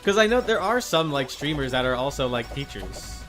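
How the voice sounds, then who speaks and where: A man chatting casually and rambling as he streams a video game, with an animated, amused manner. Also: medium pitch, moderate pace. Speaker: live streamer. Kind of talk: casual gaming stream chat. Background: video game music.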